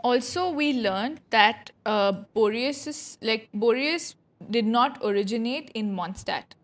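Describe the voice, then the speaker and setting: Slightly high-voiced woman streamer, chatty. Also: lively intonation, measured pace. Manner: informal, chatty, swearing. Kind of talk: casual livestream reaction commentary.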